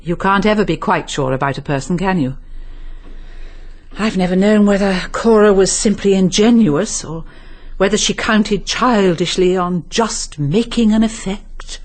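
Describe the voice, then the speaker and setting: A woman's voice: medium pitch, unhurried, a little mellow, lightly acted. An actress playing a composed, guarded, well-bred lady with an English accent in a radio drama, genteel and polite.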